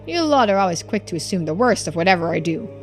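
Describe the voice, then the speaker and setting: Woman voicing a gruff, suspicious old male tycoon. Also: mid-range voice, big pitch swings, fast, faint music behind. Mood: defensive. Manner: blunt, folksy old-timer phrasing.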